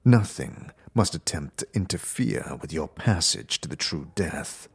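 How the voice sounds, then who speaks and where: A man's voice: very deep voice, animated, measured pace, vocal fry, slightly rough, exaggerated voice. A man playing a stern official of a death-worshipping order, solemn and ceremonial in a fantasy audio drama.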